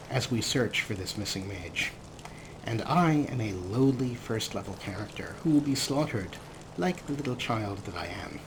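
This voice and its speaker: Guy doing sarcastic game commentary, mid-pitched voice, game sounds going behind him. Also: noisy background. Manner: sarcastic and self-mocking, casual swearing. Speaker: let's play host. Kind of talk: gameplay commentary.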